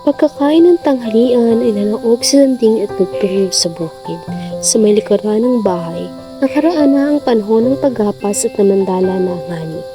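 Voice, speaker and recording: A woman narrating a literary radio drama in an expressive storytelling manner. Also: fairly high pitch, normal speed, rough recording. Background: background music.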